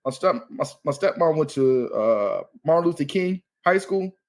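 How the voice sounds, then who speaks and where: A man's voice: fairly high pitch, expressive, normal speed, a little mellow. A man in his thirties from Maryland, a comedian guest talking casually and loosely, often saying "you know what I mean.". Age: 30s. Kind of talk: casual podcast chat.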